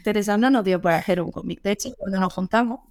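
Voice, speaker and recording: A woman from Andalusia, a comic author, chatting as a guest on a radio show in a lively, joking way. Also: mid-range voice, quick pace. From Andalusia, Spain. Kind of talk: casual radio interview.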